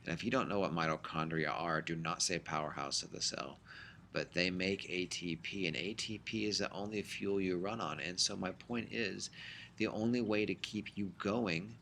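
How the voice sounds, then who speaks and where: A middle-aged man teaching a high school biology class in a recorded lecture, explaining in a conversational way with frequent fillers. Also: moderate pace, vocal fry, bright, forceful tone, a little hiss or hum.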